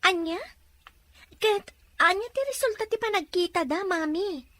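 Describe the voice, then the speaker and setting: A woman's voice: extremely high pitch, unhurried, a bit bright, dry recording, studio quality. A woman playing a concerned, inquiring young daughter in a radio serial. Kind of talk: Philippine radio serial acting.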